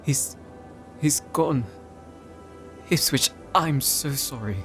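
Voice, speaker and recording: A man's voice: a little high, takes it slowly, a bit bright, faint music behind. An actor playing a polite, bewildered male companion, hesitant and stammering, in an audio drama. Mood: shocked, sorrowful. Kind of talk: anime-style audio roleplay acting.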